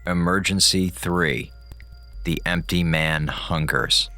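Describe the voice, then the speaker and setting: A man's voice: low voice, takes it slowly, bright, forceful tone, no echo, faint music behind, heavily acted. A man in an audio drama reading out ominous, cryptic coded messages in a portentous way.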